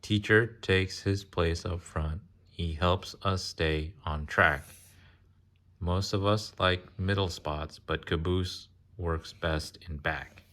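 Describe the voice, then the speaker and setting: A man reading a children's picture book aloud in a casual way, pausing to chat, ask questions and explain. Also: low voice, fairly even intonation, fairly bright tone. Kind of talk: casual read-aloud with chatty asides.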